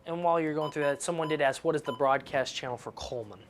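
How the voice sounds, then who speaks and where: A man's voice: on the high side, fast. A man speaking casually and unscripted as an assistant relaying questions, heard from away from the microphone. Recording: distant off-mic voice with background noise.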